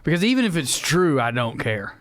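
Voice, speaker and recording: A man's voice: fairly high pitch, big pitch swings, fairly quick, a bit bright. A man co-hosting a podcast, riffing in casual comedic banter. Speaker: podcast host.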